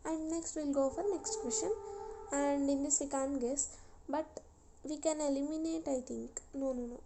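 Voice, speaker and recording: A young woman talks her viewers through test questions in a casual tutorial. Her voice sits high yet sounds soft and mellow rather than bright. She explains one step at a time, slowly and patiently. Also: steady tone. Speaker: YouTuber sharing exam tips.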